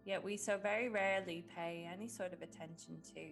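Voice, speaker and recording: A woman leading a guided meditation in a gentle, unhurried way with long pauses. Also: fairly low pitch, fairly even intonation, gravelly. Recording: music behind the voice. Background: background music.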